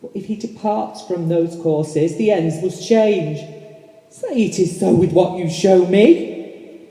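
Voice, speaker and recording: Casting: performer acting an exuberant, pleading old miser for a radio play, unhurried and mellow, with emphatic repeats. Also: medium pitch, lively intonation. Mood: desperate pleading. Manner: theatrical, emphatic, repeats phrases. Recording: echoey hall, long reverb.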